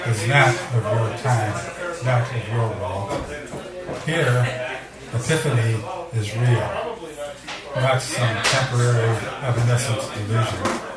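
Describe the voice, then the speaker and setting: A man giving a live poetry reading, speaking in a measured, deliberate way with dramatic pauses and casual introductions. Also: mid-range voice, recorded from a distance. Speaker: poet reading at a poetry event. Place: indoor cafe venue before a live audience. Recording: echoey room recorded from afar, audience murmur.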